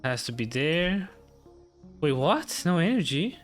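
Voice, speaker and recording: A man gives casual live commentary as he streams a game. His voice is slightly high, and he talks slowly in impatient, sweary mutters. Faint music plays behind him. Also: big pitch swings.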